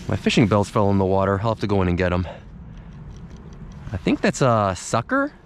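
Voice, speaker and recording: A man's voice: fairly low pitch, huge pitch swings, a little fast, a little hiss or hum. Young man narrating his winter fishing outdoors. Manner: chatty, thinking aloud, mild surprise at catches. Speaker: fishing vlogger. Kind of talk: casual running commentary while fishing. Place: outdoors on a snowy riverbank in winter. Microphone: camera worn on the body or handheld at close range.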